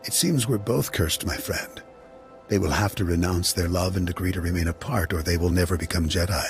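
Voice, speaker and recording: An actor playing a calm, sober Jedi master in a video game, speaking formally and deliberately. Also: male voice, deep voice, faint music behind. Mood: solemn. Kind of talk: scripted video game acting.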